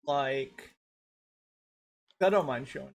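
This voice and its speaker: A woman's voice: a bass voice, takes it slowly, creaky, darkish tone. A woman hosting a casual podcast panel chat, rambling and repeating herself as she talks. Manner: rambling, repeats herself while searching for photos. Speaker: podcast host.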